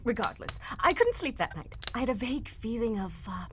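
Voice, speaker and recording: A woman plays a proper, anxious young lady telling her tale in an old-time radio mystery. Her high voice swoops theatrically from line to line. There is faint noise on the live recording. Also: very animated, fairly quick. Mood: earnest.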